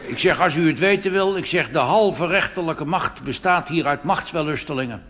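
A man's voice: a little high, moderate pace, fairly bright tone, natural voice. A man playing a cheeky, half-deaf old street-organ grinder in a rambling, mumbling cabaret monologue. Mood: deadpan mischief. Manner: rambling, hesitant, mumbled asides.

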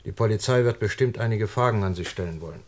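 A man acting a firm, sceptical doctor in a German radio play, with a measured, formal and pointed way of talking. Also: fairly low pitch, natural voice. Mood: stern. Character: firm, sceptical male doctor investigating a death.

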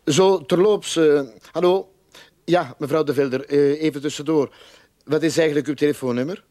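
A man's voice: fairly high pitch, normal speed, mellow, lightly acted. A male actor playing a brusque private detective with oily politeness in a radio play. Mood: apologetic bluster. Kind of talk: Dutch-language radio play acting.

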